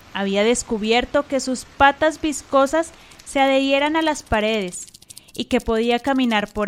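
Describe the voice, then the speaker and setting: A woman's voice: high-pitched, clear, resonant tone. A woman narrator telling a scripted story for a radio drama.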